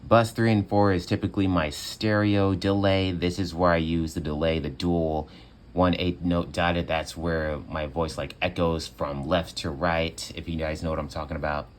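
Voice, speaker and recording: A young man with a very low, bassy voice talks in a loose, relaxed way, leaning on fillers as he walks through his home studio setup. He sounds close to a phone mic in a small room. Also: normal speed. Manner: relaxed, chatty, many fillers. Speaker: home music producer. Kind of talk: casual tutorial walkthrough.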